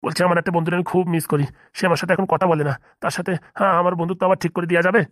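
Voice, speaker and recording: A man's voice: high voice, fairly even intonation, very fast, a bit bright, no room sound, studio quality. A man voicing a friendly, slightly bossy male cartoon doctor who talks informally and familiarly, with a sentimental streak. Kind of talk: comedy cartoon dubbing in colloquial dialect.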